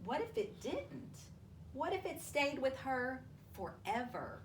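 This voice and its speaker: A woman reading a children's storybook aloud to families, with expressive, deliberate delivery and drawn-out emphasis. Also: high-pitched. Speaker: school superintendent reading a picture book aloud to families. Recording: roomy, distant mic with faint noise.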